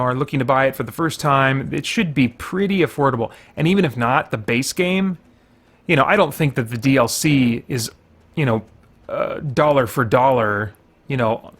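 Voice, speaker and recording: A man's voice: mid-range voice, a bit bright. A middle-aged man hosting a gaming show, giving casual commentary and thinking aloud in a rambling way.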